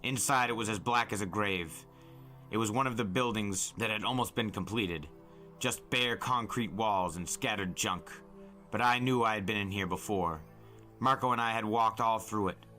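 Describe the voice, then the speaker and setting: A man narrating a story dramatically, like an audiobook, intense and deliberate in his phrasing. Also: lowish voice, bright, forceful tone, soft background music.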